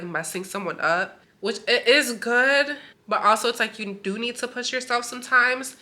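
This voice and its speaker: Bright, stuffy-nosed woman vlogging. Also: mid-range voice. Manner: chatty, full of "like" fillers. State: stuffy, nasal from a cold. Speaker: tattoo artist and vlogger. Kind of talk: casual vlog chat.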